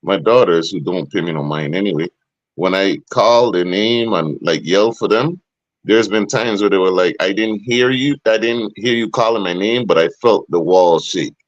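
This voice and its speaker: A middle-aged man with a deep, booming voice, hosting a podcast and making emphatic, animated points. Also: normal speed, no room sound, highs cut off. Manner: animated, emphatic, rising to sharp questions. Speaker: podcast host. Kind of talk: casual podcast discussion.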